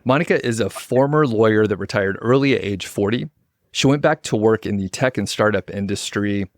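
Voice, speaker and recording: A man's voice: lowish voice, no echo, studio quality. A man hosting a podcast, talking in a friendly, informal way with lots of casual fillers. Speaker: podcast host. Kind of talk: casual interview chat.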